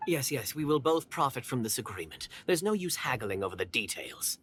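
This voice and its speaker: An actor playing a shrewd, secretive foreign agent in a video game, with a measured, formal, condescending manner. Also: male voice, medium pitch, sing-song, a bit bright, a little hiss or hum. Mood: cool, businesslike.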